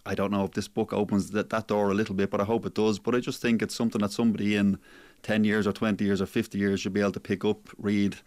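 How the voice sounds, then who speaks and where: A man's voice: on the low side, flat delivery, fast talker, a little mellow, dry recording. A man, a sports journalist and author, answering interview questions thoughtfully with plenty of hedging fillers. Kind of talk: radio interview guest.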